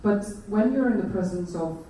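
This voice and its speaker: A woman from Iceland with an Icelandic accent giving a conference talk, halting often with fillers and restarts. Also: medium pitch, dark tone, a little hiss or hum. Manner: hesitant, many fillers and restarts. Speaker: conference speaker. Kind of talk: presentation talk. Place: large conference hall. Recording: echoey hall, voice from far off.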